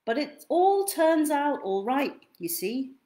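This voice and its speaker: A young woman reading picture books aloud to children in an expressive, theatrical way, drawing out key words. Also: high-pitched. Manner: theatrical, deliberate, drawn-out delivery of key words. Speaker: children's storytime reader. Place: small indoor room at home.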